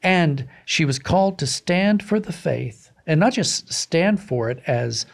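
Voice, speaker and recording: A man's voice: fairly high pitch, lively intonation, measured pace, vocal fry. A man speaking as a guest author in an interview, reflective and emphatic in a conversational way. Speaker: guest, author of audio dramas and books.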